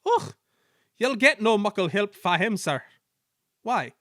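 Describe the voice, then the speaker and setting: A man's voice: sits high, big pitch swings, slow pace, a bit bright, dry recording, clean studio sound, pitched above the performer's own voice, heavily acted. A man voicing two cheeky male twin engines with a Scottish accent, sly and sing-song.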